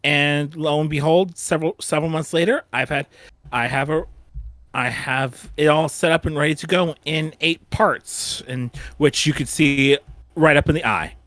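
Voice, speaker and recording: A man's voice: fairly high pitch, steady tone, moderate pace, a bit bright, dry recording. A man hosting a casual fan retrospective panel, often repeating and restarting his phrases.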